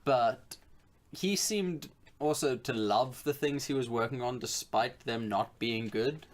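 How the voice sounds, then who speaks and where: This is a man with a medium-pitched voice, co-hosting a casual podcast. He talks in a jokey, sardonic manner. He swears casually as he goes. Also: takes it slowly. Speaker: podcast co-host. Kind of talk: casual chat.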